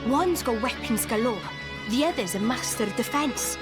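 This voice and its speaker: Woman as high, feisty young rebel fighter. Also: expressive, normal speed, close to an everyday voice. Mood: tense. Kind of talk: video game cutscene acting. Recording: loud music over the voice.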